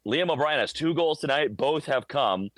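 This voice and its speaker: A man's voice: fairly high pitch, lively intonation, normal speed, a bit bright, no room sound. A man talking casually as a hockey radio announcer, explaining things conversationally in a live game-day report. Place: high broadcast booth inside a hockey arena.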